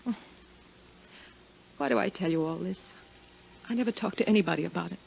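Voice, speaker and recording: An actress in her twenties playing a lonely young widow in a 1950s radio drama, speaking in a soft, hesitant, confiding way. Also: on the low side, brisk, gravelly, a little hiss or hum. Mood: wistful. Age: 20s. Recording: muffled 1950s radio broadcast.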